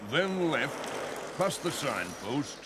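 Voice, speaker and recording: A confident, easygoing young English village workman in a children's cartoon, played by a man. He sounds informal and reassuring, with animated pitch and clear vehicle noise behind. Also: drawn-out words, full character voice. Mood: helpful.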